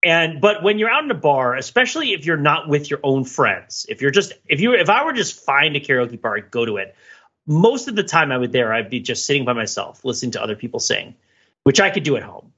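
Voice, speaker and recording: A man's voice: a little high, sing-song, fairly quick, sharp tone, husky. A talkative man chatting casually and at rambling length as a podcast co-host. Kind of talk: casual chat. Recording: dull, lo-fi microphone.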